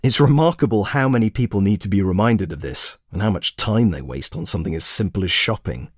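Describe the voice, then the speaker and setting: Man playing a smug pedantic traveller, lowish voice, lively swooping intonation, condescending, precise, comic audio story monologue. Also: a little fast, dry recording, studio quality. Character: smug, pedantic, self-admiring obsessive male traveller packing.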